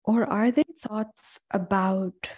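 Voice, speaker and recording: A woman yoga instructor leading a guided meditation in a calm, gentle, unhurried way with long pauses. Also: mid-range voice, husky.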